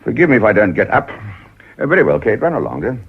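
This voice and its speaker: An actor playing a gouty, gullible English lord in a radio drama, hesitant and stammering with an upper-class accent. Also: male voice, on the low side, big pitch swings, fast, husky, full character voice. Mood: polite, distracted. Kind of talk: broadcast radio drama acting. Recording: dull, lo-fi radio recording.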